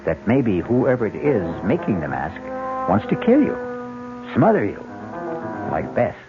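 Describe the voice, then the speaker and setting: A man playing a brooding narrator of a claustrophobic horror tale in a 1970s radio drama. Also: lowish voice. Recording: muffled 1970s radio with hum.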